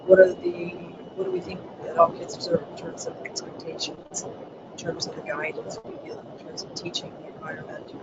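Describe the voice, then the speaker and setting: A presenter running an online training session in a soft voice that doesn't carry far. The pitch rises and falls across a very wide range, and the talk stops often for ums. Heavy background noise sits under the computer-mic recording. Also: big pitch swings, lo-fi sound. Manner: soft voice that does not carry, halting with many ums. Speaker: webinar presenter.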